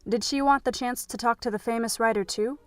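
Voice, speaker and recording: A woman's voice: fairly high pitch, steady tone, fairly quick, no room sound. A woman narrating a scripted story as the narrator of an audio drama.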